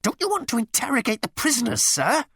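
A man's voice: very high-pitched, wildly swooping pitch, fast talker, fairly bright tone, husky, no room sound, studio quality, pitched above the performer's own voice, full character voice. A man voicing a frustrated, officious alien second-in-command in a comic science fiction audiobook. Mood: frustrated pleading. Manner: squealing, screaming, hissing officiousness.

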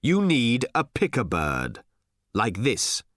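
A man's voice: medium pitch, wildly swooping pitch, takes it slowly, fairly bright tone, no room sound, clean studio sound, heavily acted. A man voicing a grave, pious old village holy man in an audiobook, with pompous, archaic, cryptic pronouncements. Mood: instructive. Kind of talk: audiobook character voice.

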